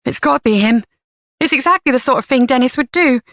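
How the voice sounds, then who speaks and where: Brash defensive mother, played by a woman: raised pitch, sharp and fast, dry read. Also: expressive, no room sound. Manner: defensive, rapid jumbled talk.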